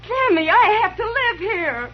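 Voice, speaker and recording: A woman's voice: very animated, a little slow, heavily acted. A desperate pleading frontier woman. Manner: high, pleading, urgent. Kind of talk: 1950s radio drama acting. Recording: dull old radio recording with faint hiss.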